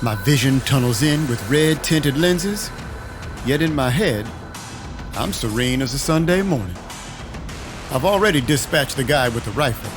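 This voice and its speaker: A man's voice: mid-range voice, lively intonation, moderate pace, lightly acted. A man plays a brooding vampire detective telling his own story in a noir audio drama. He is wry and controlled, politely menacing, with a prominent music bed underneath. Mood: wry, grim narration. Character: brooding sardonic male vampire detective, protective of animals. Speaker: narrator and lead character. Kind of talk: first-person noir-style audio drama narration.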